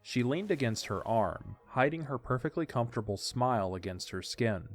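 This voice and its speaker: A man's voice: medium pitch, lively intonation, normal speed. A man reading scripted story narration as the narrator of an audio drama. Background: faint music.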